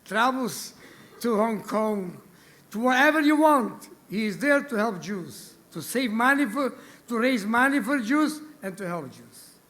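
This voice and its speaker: A man hosting a gala dinner, introducing the keynote speaker in a halting, effusive way, often repeating himself. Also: very high-pitched, lively intonation, fairly bright tone. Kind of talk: emotional introduction speech. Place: banquet hall at a gala dinner.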